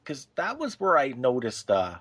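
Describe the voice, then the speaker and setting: A man's voice: medium pitch, wildly swooping pitch, normal speed, fairly bright tone, breathy edge. A man talking casually as a co-host in a podcast discussion.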